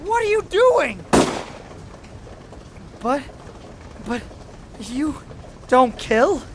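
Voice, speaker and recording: A man playing a nervous, excitable young gangster henchman in a superhero audio drama. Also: very high voice, extremely slow, exaggerated voice. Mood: threatening, rattled. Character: jittery young male gangster henchman with a gun. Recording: loud ambient noise under the voice.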